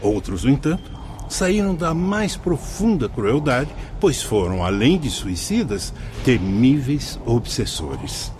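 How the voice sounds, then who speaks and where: A Brazilian man playing an earnest, kindly spirit guide in a radio drama, speaking in a formal, measured, instructive way. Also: medium pitch, sing-song, darkish tone, a little hiss or hum. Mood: solemn, instructive. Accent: Brazilian Portuguese.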